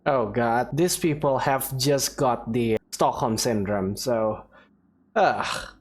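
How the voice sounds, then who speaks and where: Low-voiced woman commenting on a game. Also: slow. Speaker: game streamer. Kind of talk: casual gameplay commentary.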